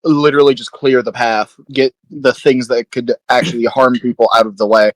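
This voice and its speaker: A man's voice: mid-range voice, fairly even intonation, moderate pace, dry recording. A man talking casually as a player in a tabletop role-playing game.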